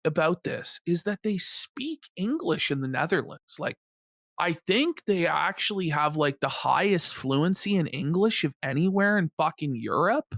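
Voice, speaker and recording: Lively, scornful man with dry close sound. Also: a little high, a little slow, creaky, dry recording. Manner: scornful, swearing freely. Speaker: show co-host. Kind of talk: casual profane reaction commentary.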